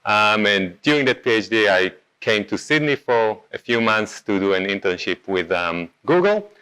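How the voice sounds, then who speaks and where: A man's voice: lowish voice, lively intonation, pushed and bright, clean tone, rough recording. Israeli man giving a casual talk. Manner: casual, halting, many ums and self-corrections. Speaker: meetup speaker. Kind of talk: conference talk.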